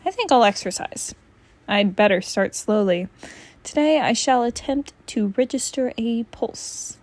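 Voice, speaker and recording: A woman voicing a lazy, sarcastic male cartoon cat with a dry, deadpan delivery, in a storybook read-aloud. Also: a little high, unhurried, dry recording. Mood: wry.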